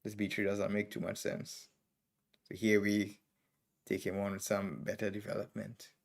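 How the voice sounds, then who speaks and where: A man's voice: fairly low pitch, completely flat delivery, normal speed, vocal fry. A man from Trinidad and Tobago with a Trinidadian accent, streaming live and thinking aloud as he reviews chess games move by move. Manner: thinking aloud, calling out moves in short bursts.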